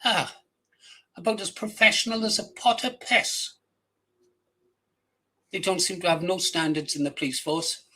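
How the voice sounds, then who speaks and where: A man's voice: high-pitched, a little slow, pauses often, pushed and bright. Blunt older Welsh-accented man. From England, raised in Wales from age ten. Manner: blunt, crude, rambling with restarts. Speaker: channel host. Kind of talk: casual rambling monologue.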